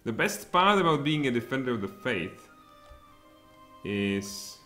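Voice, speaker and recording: A man in his thirties with a Czech accent, streaming a strategy game and thinking aloud, with sudden excited outbursts. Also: mid-range voice, measured pace. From Czech Republic. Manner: mutters thoughts aloud, then bursts out excitedly. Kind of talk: live strategy game commentary. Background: faint music and keyboard typing.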